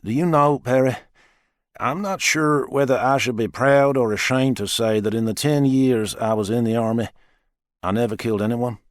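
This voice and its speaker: A man's voice: mid-range voice, normal speed, no echo, studio quality, natural voice. A man voicing a courteous, hesitant young ex-army police officer in an audiobook. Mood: uneasy, hesitant.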